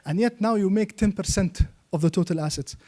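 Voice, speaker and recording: High-pitched mellow man teaching a seminar. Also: fairly quick. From Yemen. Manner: checks understanding with frequent "okay?" and "right?". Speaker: trainer and Islamic finance expert.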